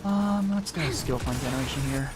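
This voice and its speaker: A man's voice: medium pitch, expressive, normal speed. A young man giving casual, rambling, conversational gameplay commentary as a streamer. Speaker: game streamer. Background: game music.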